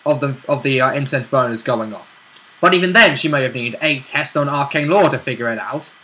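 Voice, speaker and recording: A man's voice: mid-range voice, a little fast, bright, forceful tone. A man chatting casually as a tabletop game player, putting in wry, dry asides. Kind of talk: casual chat, interjecting in a game recap.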